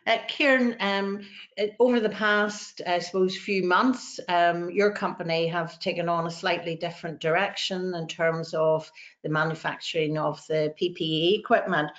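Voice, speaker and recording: A woman's voice: on the low side, fairly even intonation, moderate pace, dull audio. A woman hosting a business webinar, polite and hesitant, with many ums. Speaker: webinar host.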